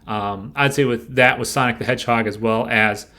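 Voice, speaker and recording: Male commentator, medium pitch, informal explainer delivery, halting and searching for words. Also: fairly even intonation, bright, forceful tone. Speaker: screenwriting coach hosting a show.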